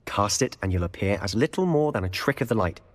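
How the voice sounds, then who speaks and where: A man's voice: lowish voice, expressive, fast talker, in a large hall, lightly acted. Man as a scheming, confident boy wizard. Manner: smooth, conspiratorial, self-assured. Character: cocky teenage wizard student, scheming, confident. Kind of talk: video game cutscene acting.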